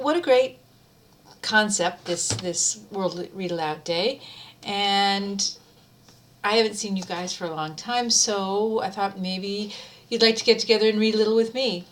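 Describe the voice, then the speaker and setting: A woman's voice: mid-range voice, a bit bright, a little hiss or hum. A middle-aged woman speaking informally, as someone sharing and reading a favorite book aloud in an amateur video. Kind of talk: casual chat and amateur read-aloud of a book.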